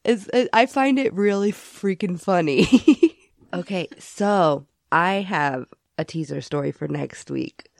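A woman's voice: lowish voice, a little slow, no echo, studio quality. A woman co-hosting a podcast in casual chat, giggling often and saying her voice is going. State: losing her voice. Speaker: podcast co-host.

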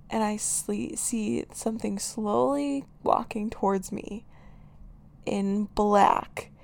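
A young woman hosting her own podcast, chatting casually and giggling, her voice raspy today with lots of filler words. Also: fairly high pitch, a little mellow. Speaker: podcast host. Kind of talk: casual solo podcast chat.

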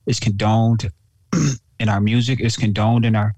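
A man co-hosting a casual talk show, talking in a slangy, animated way, often repeating himself and calling his friend bro. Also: on the low side, measured pace, no room sound. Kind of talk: casual chat.

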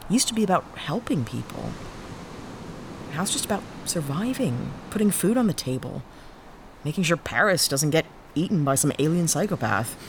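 A woman's voice: low voice, expressive, normal speed, noisy background. A woman voicing a weary, disillusioned former cop turned freelancer, talking in a candid, rueful, self-deprecating way. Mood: confiding. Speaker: lead character. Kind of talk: audio drama acting.

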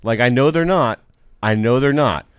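A man co-hosting a casual podcast, rambling and often trailing off quietly at the end of his phrases. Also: medium pitch, normal speed, smooth, dry recording, clean studio sound. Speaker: podcast co-host. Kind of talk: casual chat.

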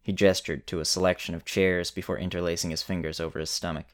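A man narrating a scripted story in a measured way with dramatic pauses. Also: sits low, flat delivery.

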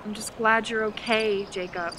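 A woman's voice: mid-range voice, fairly even intonation, moderate pace, sharp tone, slight background noise, close to an everyday voice, low-quality recording. A woman playing a stubborn, petulant young girl, a little sister, in a dramatic audio drama style. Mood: relieved, softened. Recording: faint outdoor night ambience, crickets.

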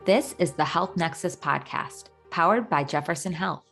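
A woman with a distinctly low voice and plenty of vocal fry narrates a podcast from a script. Her delivery is composed and orderly. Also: moderate pace, fairly bright tone, soft background music. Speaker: podcast co-host.

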